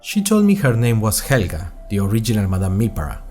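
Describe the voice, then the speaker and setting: A man's voice: on the low side, huge pitch swings, fairly quick, over music. A man playing an earnest young college student who tells his story in a wry, conversational way, as host of an audio drama diary. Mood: chatty storytelling. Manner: conversational, wry, addresses listeners directly. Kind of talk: scripted first-person audio drama narration.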